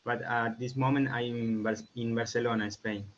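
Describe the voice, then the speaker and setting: A man's voice: mid-range voice, level tone, moderate pace, dull audio, a little hiss or hum. A man from Argentina introducing himself briefly to a group on a video call.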